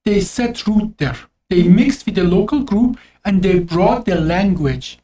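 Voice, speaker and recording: A man's voice: high voice, lively intonation, measured pace, warm tone. A man from Iran with an Azeri accent and Azeri Turkish as his first language, explaining history in a rambling, casual way with many restarts. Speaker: host. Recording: distant from the mic.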